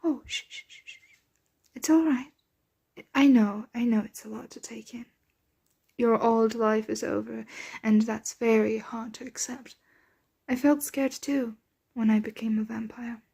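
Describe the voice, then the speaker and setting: ASMR roleplay: motherly, wealthy female vampire played by a woman, soft whispers, highish voice with a creaky edge, gently soothing. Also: little pitch movement, heavily acted. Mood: tender, comforting. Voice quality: soft, breathy, often whispered. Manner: tender, coaxing, genteel, unhurried.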